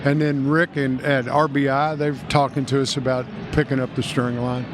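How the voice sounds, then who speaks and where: A man's voice: medium pitch, flat delivery, moderate pace, noisy background. An older man with a Kentucky accent, talking in a rambling, enthusiastic way as a guest at a trade show. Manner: folksy, rambling, enthusiastic salesman. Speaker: guest, music store owner. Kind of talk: casual trade-show interview.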